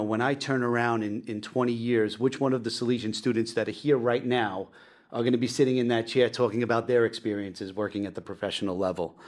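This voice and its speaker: A man in his forties hosting a school podcast interview, talking eagerly like a diehard fan, with frequent restarts. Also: mid-range voice. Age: 40s. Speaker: podcast host. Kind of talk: casual interview chat.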